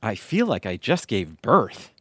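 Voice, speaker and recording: An actor playing a good-natured, exasperated middle-aged husband in an audio theatre comedy, wisecracking and confiding. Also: male voice, lowish voice, big pitch swings, unhurried, bright, forceful tone, breathy edge, no room sound, clean studio sound, heavily acted. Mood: confiding, pleased.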